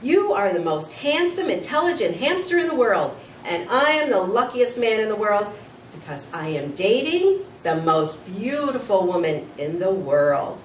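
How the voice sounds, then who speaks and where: A woman voicing an exuberant, lovestruck man in a story, loud, excitable and gushing. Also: mid-range voice, unhurried. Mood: gushing, elated. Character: exuberant lovestruck man, a school night janitor. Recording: roomy, distant mic, noisy.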